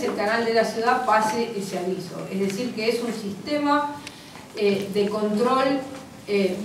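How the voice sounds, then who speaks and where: A woman explaining herself at a press conference, in a measured way with many hesitations. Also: on the high side. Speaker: opposition deputy. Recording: echoey hall, far from the mic, noisy.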